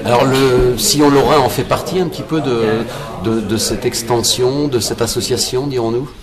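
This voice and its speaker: A man's voice: mid-range voice, moderate pace, a crowd in the background. A man putting informal questions as a radio interviewer, hesitant and feeling for his words.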